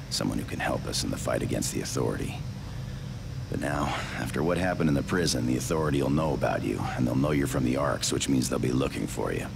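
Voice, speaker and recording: Game role, rebel captain: determined, gruff authority, bottomless gravelly bass with heavy fry. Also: male voice, lively intonation, brisk, noisy background, exaggerated voice. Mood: grave, grateful. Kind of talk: video game cutscene acting.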